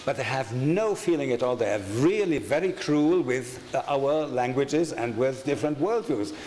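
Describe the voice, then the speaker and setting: An older man with a German accent lectures at a conference in a halting way, stopping often on fillers and restarts between points. His voice carries through a roomy hall with a long tail of reverb. A faint hum of room noise sits underneath. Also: mid-range voice, animated, a little mellow. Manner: halting, many fillers and restarts, wry asides. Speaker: conference speaker. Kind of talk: academic lecture. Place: lecture hall with projection screen. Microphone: desk microphone at a lectern.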